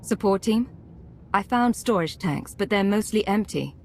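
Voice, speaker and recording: A woman's voice: medium pitch, expressive, unhurried, darkish tone, slight background noise. A woman playing a cool, businesslike imperial secret agent in a video game, dry and controlled. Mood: focused. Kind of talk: video game cinematic acting.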